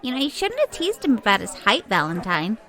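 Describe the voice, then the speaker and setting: Woman playing a high, animated, teasing sidekick. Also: fairly quick, a faint crowd. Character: lively, teasing female sidekick of a detective. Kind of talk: audio drama acting.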